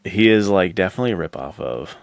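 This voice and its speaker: A man's voice: sits low, animated, quick pace, gravelly. A man, hosting a podcast in a casual, enthusiastic chat full of bro-ish slang. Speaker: podcast host. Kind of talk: casual enthusiastic chat about adventure stories.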